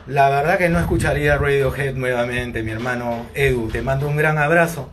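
A man in his forties with a Peruvian Spanish accent, chatting casually outdoors with fans and often pausing on "eh" as he answers their questions on a phone. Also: mid-range voice. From Peru. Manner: relaxed and unhurried, with frequent hesitant "eh" fillers. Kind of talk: casual live chat with fans. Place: outdoors in bright sun by a glass building. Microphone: phone held at arm's length, wireless earbuds.

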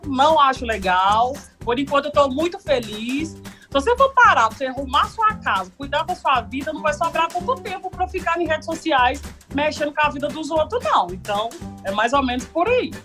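A woman's voice: high-pitched, fairly bright tone. A woman volleyball player answering an interview frankly, giving her opinions in a slightly rambling way into a handheld mic.

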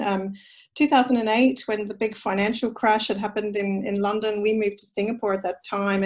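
A woman's voice: mid-range voice, muffled recording. A middle-aged woman with an Australian accent, a company founder telling her story on a video call. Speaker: panel guest, founder. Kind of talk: casual panel chat. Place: home room on video call.

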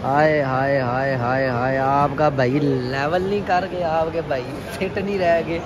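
A man's voice: on the high side, steady tone, a little slow. A man chats casually and playfully to viewers in slangy, repeated phrases. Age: 20s. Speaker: travel vlogger. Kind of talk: casual vlog chat to viewers. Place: car, parking garage and busy indoor mall. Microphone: handheld phone at arm's length. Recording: noisy handheld recording with loud ambient noise.

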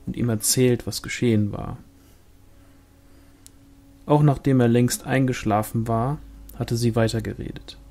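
A man's voice: medium pitch, dark tone. A man reading a novel aloud as scripted audiobook narration. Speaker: audiobook reader.

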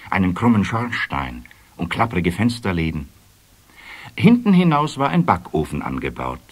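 A man with a fairly deep voice narrates a children's story. He takes his time, and his intonation rises and falls in lively, deliberate emphasis. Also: breathy edge. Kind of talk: expressive children's fairy tale narration.

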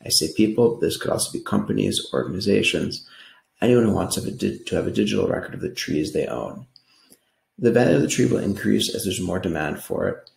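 An American man from New York giving an earnest, explanatory slide presentation pitch in an echoing room. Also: creaky, darkish tone. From New York, United States. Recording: roomy, echoing voice recording.

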